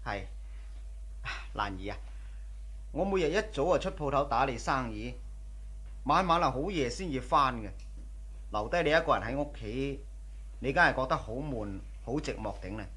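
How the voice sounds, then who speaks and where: An actor playing a devoted, solicitous young husband in a radio drama, tender in manner. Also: male voice, medium pitch, animated, normal speed, pauses often, a bit bright, husky. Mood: tender, concerned. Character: devoted, doting young husband and shop owner. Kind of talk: Cantonese radio drama acting.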